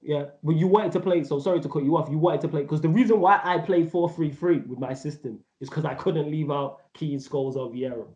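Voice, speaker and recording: Man in his 30s ranting about football, slangy, mellow voice kinda high, echoey muffled room. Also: a little fast, few pauses. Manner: emphatic, rambling, slang-heavy. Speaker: podcast co-host. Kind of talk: casual football debate. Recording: echoey, dull audio.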